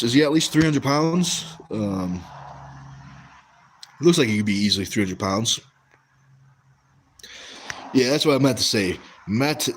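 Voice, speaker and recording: A man's voice: medium pitch, slight background noise. A young American man hosting a casual livestream, reading chat and rambling through stories with lots of fillers and restarts. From West Coast of the US.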